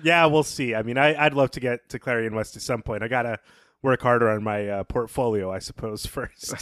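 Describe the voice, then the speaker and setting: Podcast guy talking books, opinionated and emphatic, chatty, middle pitched voice, really dry audio. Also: brisk, fairly bright tone, dry recording. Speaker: podcast co-host. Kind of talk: conversational literary analysis.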